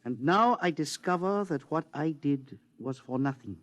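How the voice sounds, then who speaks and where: An actor playing a foreign engineer who speaks in a measured, formal, quietly accusing way, in a 1950s radio drama. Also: male voice, a little high, expressive, no echo. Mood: grave. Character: bitter foreign male engineer, calm avenger.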